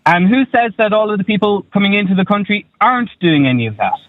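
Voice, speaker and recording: A man's voice: high voice, very animated, fast, no echo. A man calling in to a radio show and arguing his point with rhetorical questions. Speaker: caller. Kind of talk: phone-in debate. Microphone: telephone. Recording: tinny phone line.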